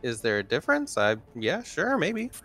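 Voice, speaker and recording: A man's voice: a little high, huge pitch swings, moderate pace, fairly bright tone. A man speaking casually as a player in a tabletop roleplaying game. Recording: background music under the voice. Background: music.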